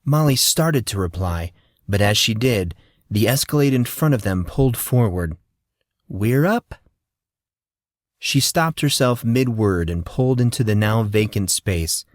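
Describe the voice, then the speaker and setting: A man narrating a fantasy audiobook in steady, measured storytelling. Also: lowish voice, no echo, clean studio sound.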